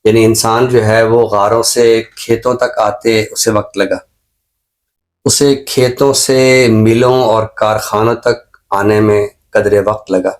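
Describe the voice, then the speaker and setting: A man with a Pakistani accent speaking as a talk show expert in a reflective discussion, explaining in measured, repeated phrases with examples. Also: lowish voice, flat delivery. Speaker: expert guest on a literary and social talk show.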